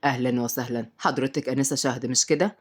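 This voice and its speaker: A polite, kindly uncle, put on by a woman reader, gentle and courteous in a storybook drama. The voice drops low and soft, with measured manners. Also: steady tone, husky. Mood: welcoming. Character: courteous, soft-spoken adult man, kind devoted uncle.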